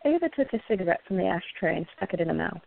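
A woman with a creaky voice reading scripted story narration for a radio play. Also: on the low side, sing-song, racing, dry recording. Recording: narrow phone-like, dry sound.

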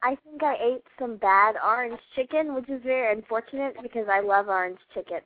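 A woman's voice: fairly high pitch, brisk, no room sound. A woman chatting casually as co-host of a live radio show. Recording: phone-like, dry, narrow audio.

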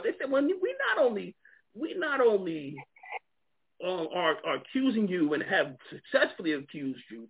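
Animated New York man on a tinny line. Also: high-pitched, breathy edge. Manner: earnest, many repeated restarts. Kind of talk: animated discussion on a call.

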